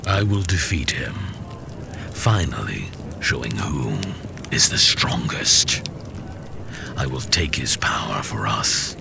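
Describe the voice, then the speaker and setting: An extremely low, gravelly villain in a fantasy audio drama. He speaks slowly in a whispery growl, grandiose and menacing, with dramatic swings in pitch. He sounds like a warlord bent on conquest. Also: animated, over music, full character voice. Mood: scheming, menacing. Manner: slow, grandiose.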